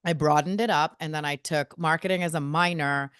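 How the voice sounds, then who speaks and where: A woman from India, a guest on a marketing interview, telling her career story in a lively, self-mocking way. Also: deep voice, fairly quick, smooth, no echo, clean studio sound. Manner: lively, self-mocking, quotes people in voices. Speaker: guest, marketing agency co-founder.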